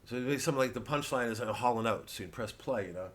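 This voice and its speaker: A man's voice: medium pitch, fast, fairly bright tone. A male comedian rambling through a blunt, indignant stand-up rant, swearing freely.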